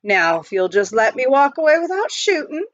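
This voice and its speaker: A woman's voice: high-pitched, very animated, moderate pace, no room sound, muffled recording, pitched above the performer's own voice. A woman reading a children's storybook, voicing a big reformed outlaw with a drawling, rustic, amused frontier way of talking. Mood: wry, teasing. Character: reformed outlaw, big man, folksy and teasing. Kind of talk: children's storybook read aloud.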